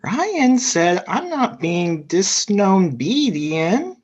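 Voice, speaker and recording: A woman's voice: mid-range voice, very animated, very slow, darkish tone, husky, heavily acted. A woman playing an innocent, inquisitive little girl in a sitcom table read. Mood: innocent, puzzled. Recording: dull lo-fi call audio.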